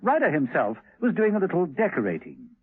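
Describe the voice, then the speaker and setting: Lively, high-voiced male radio narrator. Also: a little fast, breathy edge, no echo. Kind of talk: scripted dramatic radio narration. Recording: tinny vintage radio recording with music.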